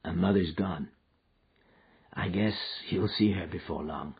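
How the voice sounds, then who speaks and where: A tired frontier man murmurs in a low, husky drawl, soft and patient with the little one beside him, as a male reader plays him. The voice sits deep and rough, and the recording is slightly dull and lo-fi. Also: unhurried, full character voice. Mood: gentle. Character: weary frontier man, gentle with the child.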